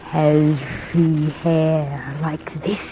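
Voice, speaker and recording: Very slow, seductive female vampire. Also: sits low, flat delivery, a little hiss or hum. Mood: seductive, hungry. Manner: slow, coaxing, sultry. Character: seductive, predatory female vampire, languid and hungry. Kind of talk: 1940s radio drama acting. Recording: tinny, band-limited 1940s radio broadcast.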